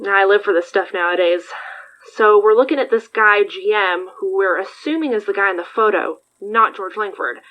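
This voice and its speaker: A woman's voice: medium pitch, normal speed, natural voice. A woman acting in an audio drama as a wry, casual investigator and supportive friend, with slightly dull sound. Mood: curious. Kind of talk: audio fiction podcast acting.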